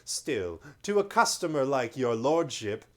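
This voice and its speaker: A man's voice: medium pitch, big pitch swings, unhurried. A man voicing a wheedling, coarse male slave dealer in a read-aloud story. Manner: wheedling, cockney-ish slang.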